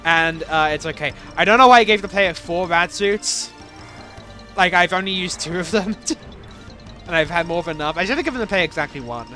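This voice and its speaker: A man's voice: high-pitched, brisk, sharp tone, faint music behind. A young man casually commenting on his own game levels as he plays, rambling and self-deprecating, with lots of fillers and restarts.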